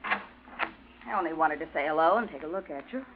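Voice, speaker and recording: Brash ex-wife, lilting pitch. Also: female voice, expressive, fairly quick, phone-quality sound. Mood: teasing. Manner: teasing, familiar, pushy. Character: brash, coaxing ex-wife, adult woman, needling and familiar. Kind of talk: 1940s radio drama acting. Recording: thin, noisy, scratchy old radio recording.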